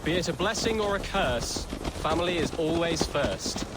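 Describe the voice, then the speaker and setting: A man's voice: on the high side, expressive, normal speed, heavy background noise, natural voice. A young man playing a curious, modest Saxon prince in a video game, earnest and eloquent. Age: young adult. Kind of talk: video game drama acting. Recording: loud outdoor ambience over voice.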